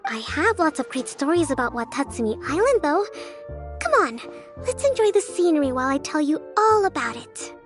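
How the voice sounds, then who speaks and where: A very high, animated young female general in a game. She is confident, friendly and chatty, encouraging whoever she talks to, with music behind. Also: a little fast. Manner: upbeat, chatty, warm-hearted. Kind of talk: video game character acting.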